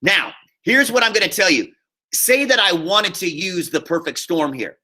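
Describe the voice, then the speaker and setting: An American man with a fairly high, very bright and forward voice teaches a casual online class. He talks like a chatty high school teacher, walking through steps on screen and checking in often. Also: lively intonation, moderate pace. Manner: chatty, checks in often with okay and all right. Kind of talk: casual instructional screen-share lesson. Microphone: computer mic on a video call.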